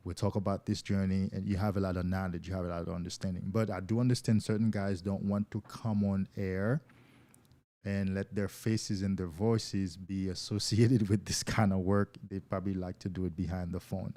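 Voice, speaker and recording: A man's voice: low voice, flat delivery, moderate pace, mellow. A man hosting a casual live stream as a coach, talking loosely and enthusiastically to viewers and often repeating words as he goes.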